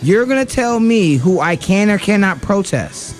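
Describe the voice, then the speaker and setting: A man's voice: high voice, very animated, moderate pace, faint music behind. Heated man in a political rant. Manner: heated, argumentative, with many fillers and restarts. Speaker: radio show co-host. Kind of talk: political commentary.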